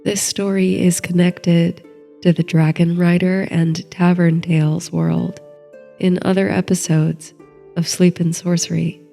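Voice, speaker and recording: Female sleep-story narrator: very slow, mellow, gentle and soothing, over light music. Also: on the low side, level tone, gravelly. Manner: gentle, unhurried, measured. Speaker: host, sleep story narrator and meditation guide. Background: soft background music.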